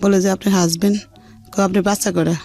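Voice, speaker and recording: Middle-aged woman, colloquial Dhaka Bengali accent, medium pitch, earthy candid storytelling, soft music underneath. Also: fast. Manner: earthy, unpolished, animated retelling with reported dialogue. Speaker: radio show guest telling her life story. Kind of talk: candid personal storytelling in conversation. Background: background music.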